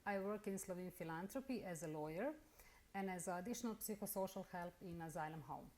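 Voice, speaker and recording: A middle-aged woman with a Bosnian accent, carefully telling her own story in halting English during an interview. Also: on the low side, a little mellow, a little hiss or hum. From Bosnia and Herzegovina. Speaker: interviewee, lawyer. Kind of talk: personal account in an interview.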